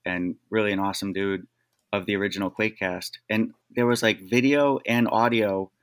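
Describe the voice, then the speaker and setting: Low-voiced rambling male guest. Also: steady tone, a little fast, clear, resonant tone. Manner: rambling, heavy use of "like", enthusiastic. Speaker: podcast guest. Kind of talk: casual conversation.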